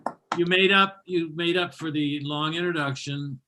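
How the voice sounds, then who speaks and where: A man's voice: on the high side, fairly even intonation, normal speed, heavy background noise. A man hosting a virtual comedy show over a video call, introducing acts with wry, teasing banter.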